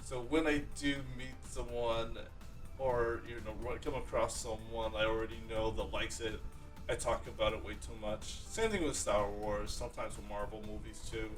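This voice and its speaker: A man's voice: fairly low pitch, steady tone, normal speed, recorded from a distance, faint music behind. A man hosting a podcast in a casual, rambling conversational way, often repeating his phrases. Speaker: podcast host. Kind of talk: casual conversational interview talk.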